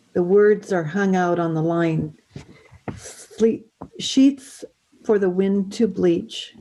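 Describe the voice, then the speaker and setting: A woman reading a poem aloud in a measured style. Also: on the low side, mellow, low-quality recording. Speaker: reader.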